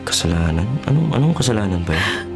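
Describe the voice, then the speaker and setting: A man's voice: low voice, sing-song, fast talker, over music. A man acting in a Filipino radio drama as a polite, inquisitive young journalist, courteous and tentative in a conversational way. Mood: gentle, probing. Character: polite, inquisitive male journalist visiting a small town.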